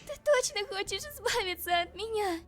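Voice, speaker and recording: High-voiced, wounded young captive woman. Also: expressive, brisk. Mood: hurt, questioning. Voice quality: high-pitched. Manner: wounded, questioning, accusing. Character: hurt, bewildered kidnapped young woman. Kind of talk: visual novel voice acting.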